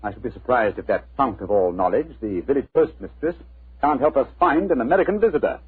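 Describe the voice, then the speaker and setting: A man's voice: medium pitch, fast talker, noisy background, close to an everyday voice. A man playing a shrewd, confident English detective with a clipped, incisive manner, in a 1940s radio drama. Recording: dull old radio recording, music behind.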